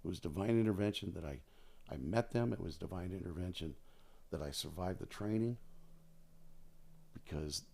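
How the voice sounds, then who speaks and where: A man's voice: low-pitched, normal speed, vocal fry, pauses often, darkish tone. An American man in his sixties talks casually as a podcast guest, telling stories and repeating phrases for emphasis. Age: 60s. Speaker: podcast guest, retired air traffic controller. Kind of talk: casual conversational storytelling.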